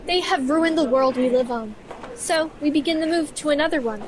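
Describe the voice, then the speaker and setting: An actress playing an exacting, earnest computer scientist in a science fiction radio play. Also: female voice, sits high, a little fast, background noise, close to an everyday voice. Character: exacting female artificial intelligence scientist, earnest. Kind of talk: scripted sci-fi radio play.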